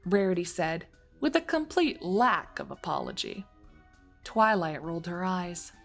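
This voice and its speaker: A woman's voice: on the low side, sing-song, measured pace, gravelly, soft background music. A woman narrating a scripted story as its narrator, reading in prose between characters' dialogue.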